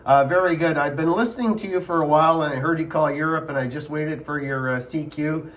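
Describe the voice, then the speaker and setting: Man, slightly raised pitch, amateur radio operator, casual on-air chat, repetitive phrasing. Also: a little fast, a bit bright. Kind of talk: casual ham radio contact.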